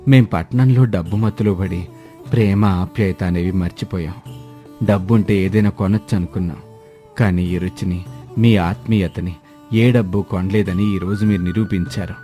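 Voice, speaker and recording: A hearty, rich older uncle, played by a man, effusive and emphatic. His storybook voice is low and very mellow. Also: fairly even intonation, moderate pace, faint music behind, lightly acted. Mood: moved, remorseful.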